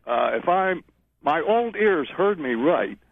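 An older man in his eighties with a Western New York accent phones in to a talk show. His voice is a touch high and very lively in pitch, and he talks in a halting way. The line is dull and muffled, with no room sound around him. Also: no echo. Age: 80s. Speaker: caller. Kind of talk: casual call-in chat.

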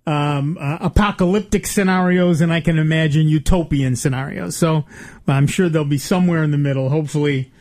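A man in his late sixties hosts a talk radio call-in show. He is chatty, laughs easily and often restarts his sentences. His voice sits high for a man. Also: fairly quick, darkish tone. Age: 60s. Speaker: radio host.

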